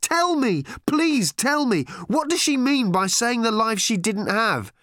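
A man voicing a furious, hurt teenage girl who shouts and nearly cries. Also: moderate pace, breathy edge, no echo, studio quality, close to an everyday voice. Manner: shrill, shouting, near tears.

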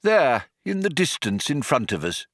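A man's voice: mid-range voice, big pitch swings, moderate pace, fairly bright tone, dry recording, studio quality, pitched above the performer's own voice. A man voicing an elderly alien planet builder in an audiobook, genial, polite, mildly vague and cheerfully understated. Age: older. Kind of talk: audiobook character voice.

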